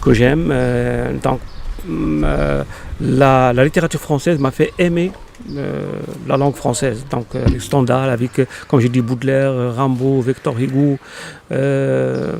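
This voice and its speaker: A man in his fifties from Morocco, whose first language is Arabic, speaking slowly in an interview with many pauses and fillers. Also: medium pitch, creaky, darkish tone, slight background noise. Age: 50s. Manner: halting, many fillers and restarts. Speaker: interviewed poet. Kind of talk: hesitant conversational interview. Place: local podcast studio.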